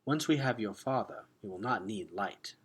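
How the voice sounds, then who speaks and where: A composed young warrior girl from a storybook, read by a man. The voice is lowish and husky, with formal, measured phrasing that reassures. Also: lively intonation, fairly bright tone. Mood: encouraging.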